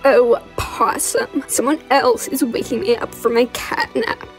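A woman's voice: fairly high pitch, fairly even intonation, unhurried, faint music behind, natural voice. A woman voicing a lazy, sassy cat-like drifter in an amateur cartoon dub, with a drawling, slangy, catty delivery.

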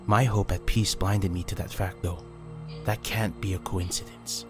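A man voicing a composed, guarded ninja warrior in a fantasy audiobook, speaking in a measured, serious way. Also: sits low, fairly even intonation, over music, natural voice. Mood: troubled. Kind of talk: audiobook character dialogue.

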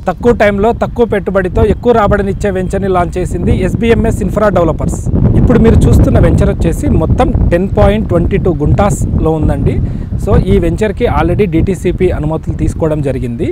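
Fast high-voiced Telugu man, noisy outdoors. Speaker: company chairman promoting his venture. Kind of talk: spoken sales pitch to camera. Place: outdoors on an open plot site by a highway. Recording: noisy outdoor location sound.

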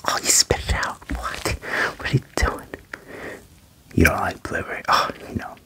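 A young man whispering gently into a close microphone in an ASMR medical roleplay, with short reassuring phrases. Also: moderate pace. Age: young adult. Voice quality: whispered, breathy. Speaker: ASMR performer playing an alien doctor. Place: small wood-panelled room indoors. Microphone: close microphone with furry windscreen.

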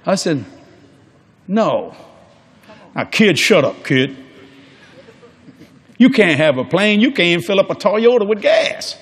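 Animated older preacher man, husky voice. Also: high voice, takes it slowly, long pauses. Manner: folksy and jokey, acting out quotes in different voices. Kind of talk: sermon. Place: large church stage before a live congregation.

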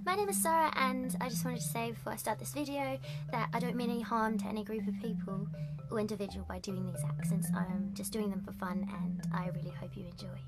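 A woman's voice: medium pitch, fairly even intonation, brisk, over loud music. A woman in her twenties chatting playfully to the camera in a small room at home. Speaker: comedy video creator. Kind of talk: casual chat to camera.

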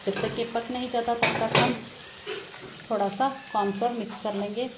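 A woman's voice: on the high side, fairly even intonation, normal speed, heavy background noise. A woman narrating a home cooking tutorial in a friendly, chatty way, often greeting viewers and adding religious blessings as she cooks. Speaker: cooking vlogger. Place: home kitchen, beside a frying stove.

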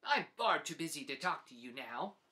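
A man's voice: fairly high pitch, sing-song, normal speed, bright, forceful tone, pitched below the performer's own voice, exaggerated voice. A man voicing a busy, blunt mother duck in a children's storybook.

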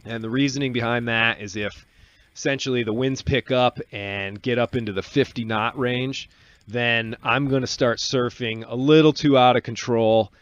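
A man in his forties giving a relaxed, casual voice-over on a sailing clip from a small cabin studio, talking into a desk mic. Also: medium pitch, moderate pace, muffled recording. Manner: relaxed, explanatory, with restarts. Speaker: solo sailor vlogger. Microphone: desk microphone on boom arm.

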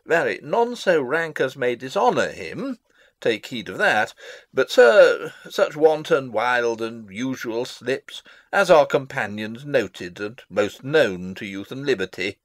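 Man playing long-winded old father, pompous, theatrical, lively swooping intonation, classic stage verse, close dry sound. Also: mid-range voice, slow, no echo. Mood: fussily instructing. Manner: rambling, pompous, theatrical, losing his thread. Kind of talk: dramatic reading of a Shakespeare play.

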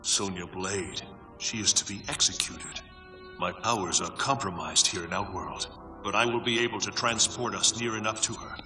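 A man playing a solemn, stern thunder god and mentor who speaks formally and gravely in a video game. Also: fairly low pitch, normal speed, close to an everyday voice. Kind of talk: dramatic video game acting. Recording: long hall reverb, faint music.

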